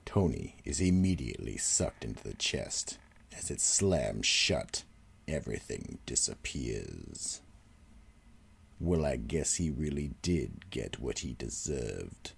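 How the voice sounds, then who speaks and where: A man narrating a horror radio drama and hosting the show, slow and ominous. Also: rumbling low, big pitch swings, gravelly, breathy edge. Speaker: narrator and host.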